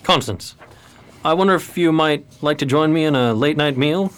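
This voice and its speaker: A man playing a courtly, uneasy gentleman gambler in a 1920s period radio drama, speaking formally. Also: on the high side, moderate pace, clean tone, natural voice.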